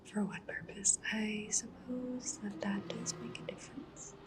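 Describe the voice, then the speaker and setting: A woman playing a polite, discreet cyberpunk matchmaker in an ASMR roleplay, speaking gently and professionally in a breathy whisper. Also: mid-range voice, steady tone, unhurried, natural voice. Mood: calm, businesslike. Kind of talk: soft-spoken ASMR roleplay.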